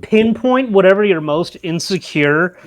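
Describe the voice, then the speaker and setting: A man hosting a casual podcast chat, asking questions in an unhurried way and throwing in jokey asides. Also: high-pitched, expressive. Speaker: podcast host.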